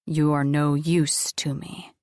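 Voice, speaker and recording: A woman puts on an ancient male angel with a low, gravelly voice and a dry, close sound. He speaks slowly and gravely, coldly menacing and in command. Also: level tone, darkish tone, no room sound.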